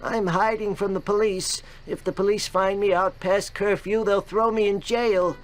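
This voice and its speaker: A man voicing a frail, nervous little old man in an animated cartoon video game. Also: high-pitched, moderate pace, close to an everyday voice.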